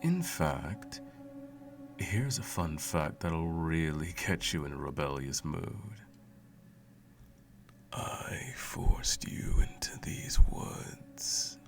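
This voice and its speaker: Guy doing a slow gravelly evil sorcerer, teasing and cooing at his little captive, asmr roleplay with music behind. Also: very deep voice, expressive, heavily acted. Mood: smug, teasing. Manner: teasing, cooing, condescending, theatrical. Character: sadistic male sorcerer doting mockingly on captive pet.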